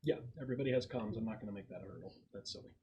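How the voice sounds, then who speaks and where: A man's voice: fairly low pitch, very fast, mellow. A man acting as game master, casually explaining plans to players at a tabletop roleplaying game. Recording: muffled, slightly noisy group recording.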